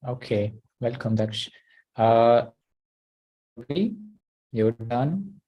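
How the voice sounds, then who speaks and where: A man's voice: mid-range voice, expressive, drawn-out words, warm tone, rough recording. A man hosting an online class over a video call. He talks hesitantly, with many uh and you know.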